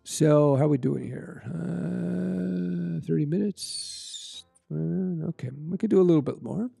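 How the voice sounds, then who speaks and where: A man's voice: fairly high pitch, slow pace, vocal fry, warm tone, slightly rough, soft background music. A middle-aged Canadian man hosting a casual livestream, answering chat questions in a rambling, conversational way. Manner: rambling, conversational, fillers and restarts. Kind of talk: casual live-stream chat.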